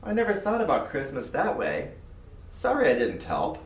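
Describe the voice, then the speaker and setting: A skinny young schoolboy with a small grudge, from a children's story, as a man reads him. The pitch moves around in a lively way. The recording is roomy and a bit distant. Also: normal speed, a little hiss or hum. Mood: casual, grudging. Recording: roomy echo, far from mic.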